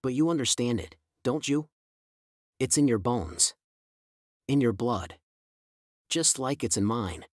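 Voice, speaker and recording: A man voicing a menacing young male hitman who speaks in a low, taunting, insinuating way. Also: expressive, slow pace, long pauses, dry recording, clean studio sound.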